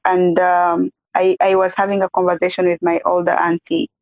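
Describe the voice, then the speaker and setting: Soft-spoken young woman, dull dry audio. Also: lowish voice, completely flat delivery, normal speed, no room sound. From South Sudan, raised in Uganda. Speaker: podcast guest, peace activist. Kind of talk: interview.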